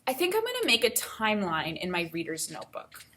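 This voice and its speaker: A woman with a mid-range voice speaks as a teacher leading a read-aloud. She shifts between reading informational text and thinking out loud, explaining things step by step for learners. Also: mid-range voice, wildly swooping pitch, a little fast.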